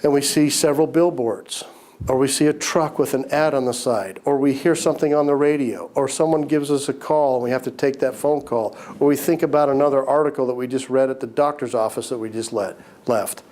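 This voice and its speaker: A man teaching a business course, talking conversationally while getting over a cold, his voice a little raspy, often clearing his throat and repeating key points. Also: medium pitch, brisk, a little mellow. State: recovering from a cold, slightly raspy. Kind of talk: recorded lecture to entrepreneurs.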